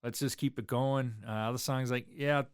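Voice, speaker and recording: A man's voice: mid-range voice, steady tone, normal speed, clear, resonant tone, no room sound, clean studio sound. A man, a drummer talking loosely in an interview, rambling with lots of fillers. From South Boston, USA. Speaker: drummer and guest. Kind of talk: casual interview chat.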